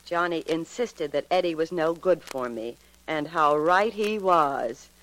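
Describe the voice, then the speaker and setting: A woman's voice: low-pitched, expressive, a little slow, fairly bright tone. An American woman acting a cool, flirtatious femme fatale suspect in a 1940s radio drama, sultry and guarded. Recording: noisy 1940s radio recording.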